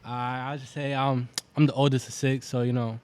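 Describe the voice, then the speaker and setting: A young man from Boston who talks loosely, circling back with plenty of "like" and "um." His voice sits at an everyday middle pitch. It sounds like an easygoing podcast guest. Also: moderate pace, clear, resonant tone. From Boston, USA (born in Venezuela). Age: 20s. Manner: relaxed, rambling, many fillers. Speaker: guest, clothing brand founder. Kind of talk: casual interview chat.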